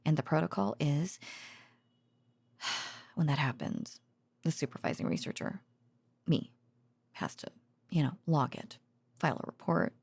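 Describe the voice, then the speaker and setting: A woman's voice: sits low, a little slow, gravelly, pauses often, a little mellow, breathy edge, exaggerated voice. A woman playing a tired, teasing, affectionate researcher girlfriend in an intimate bedtime audio roleplay, rambling hesitantly with wry sarcasm. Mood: weary, wry complaining. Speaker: voice actor.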